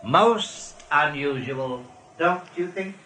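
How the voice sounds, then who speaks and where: An actor playing a sly rabbit general in a radio play, questioning with measured, menacing courtesy. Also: male voice, fairly high pitch, animated, over loud music. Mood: falsely casual.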